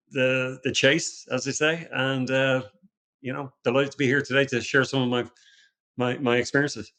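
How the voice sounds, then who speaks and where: A man's voice: medium pitch, fairly bright tone. A middle-aged Irish man from Ireland, a company founder talking as a podcast guest in a casual, conversational way with many restarts and fillers. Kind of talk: casual interview talk.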